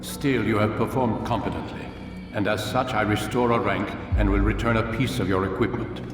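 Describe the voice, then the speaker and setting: An actor playing a stern, measured older master of assassins in a video game, speaking formally and deliberately, as if lecturing. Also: male voice, lowish voice, fairly even intonation, far from the mic, close to an everyday voice. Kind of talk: video game cutscene acting. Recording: very echoey hall, music behind.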